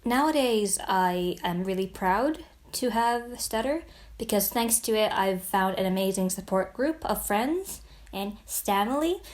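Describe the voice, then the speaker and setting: A woman's voice: medium pitch, animated, measured pace. A woman speaking fluently and upbeat about her stutter and her support group. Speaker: community member sharing experience. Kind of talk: personal testimony to camera.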